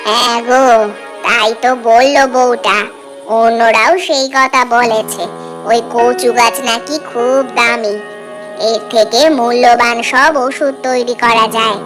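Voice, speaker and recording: A woman voicing an inquisitive, cautious middle-aged village auntie in a cartoon folk tale, speaking in an expressive, coaxing way. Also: on the high side, unhurried. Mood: cautioning. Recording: voice over prominent background music.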